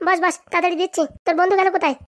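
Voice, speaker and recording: Bossy, caring village auntie for cartoon comedy, played by a woman: high, scolding, extremely quick delivery. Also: flat delivery. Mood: fussing. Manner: scolding, fussing. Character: bossy, caring village aunt who cooks. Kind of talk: comic cartoon dialogue.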